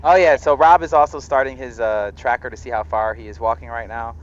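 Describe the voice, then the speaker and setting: A man's voice: mid-range voice, fairly even intonation, a little fast. Muffled male host on a wireless headset. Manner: mock-exasperated, teasing. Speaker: host of a gear review video. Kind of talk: casual bantering product-test chat. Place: outdoors on an urban street, earlier inside a studio. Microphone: wireless intercom headset. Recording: muffled intercom audio with street noise.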